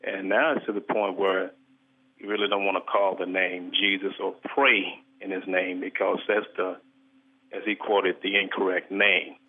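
A man's voice: sits low, flat delivery, normal speed. A man calling in to a radio show with an earnest, polite and somewhat rambling question. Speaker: caller. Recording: thin phone line.

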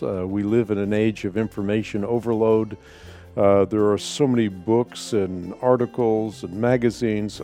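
A man hosting a call-in radio show, answering a caller in a measured, explanatory way with many repeated starts. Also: lowish voice, dark tone, dry recording, studio quality.

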